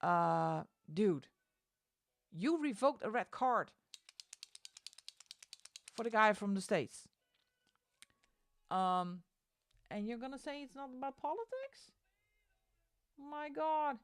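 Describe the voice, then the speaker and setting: A woman streamer in easy, rambling chat, slipping into exasperated rants. Her voice is gravelly with plenty of fry, and she takes her time over every phrase. The sound is close and dry on a desk mic. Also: on the high side, animated, takes it slowly, long pauses, dry recording. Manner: ranting, exasperated asides; sings along to music between chat. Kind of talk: casual chat while coloring on stream. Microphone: desk microphone.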